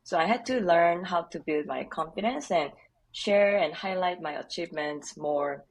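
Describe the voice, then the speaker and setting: A woman from Korea with a Korean accent, a guest answering interview questions in an earnest, measured way with light laughs. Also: on the low side, a little hiss or hum, poor audio. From South Korea. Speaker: HR leader, podcast guest.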